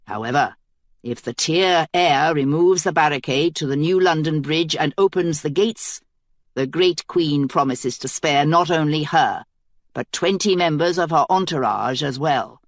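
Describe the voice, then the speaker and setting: A woman's voice: deep voice, no room sound, clean studio sound. A woman doing a pompous enemy herald. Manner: slow, pompous proclamation. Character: formal male herald of an enemy queen.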